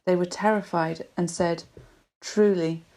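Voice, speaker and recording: A woman giving a solemn, measured reading of Bible narrative as a scripture reader. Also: on the low side, fairly even intonation, a little mellow.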